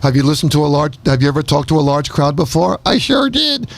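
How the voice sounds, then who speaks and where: A man's voice: fairly high pitch, flat delivery, quick pace, vocal fry, darkish tone. A man doing comedy banter as a ventriloquist, playing the playful straight man.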